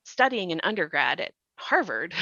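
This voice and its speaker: A woman talks in a casual panel discussion with a bright, forceful voice that sits a little low. She is emphatic and analytical, and the recording is dry and slightly dull. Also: big pitch swings, normal speed, vocal fry, dry recording. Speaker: podcast actor discussing a drama series.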